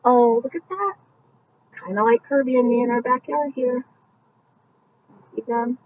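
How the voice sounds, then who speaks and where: A woman reading a picture book aloud at bedtime, gently explaining the pictures with casual asides as if to young children. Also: on the high side, fairly even intonation, unhurried. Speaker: storybook reader. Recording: muffled, distant mic with faint background noise.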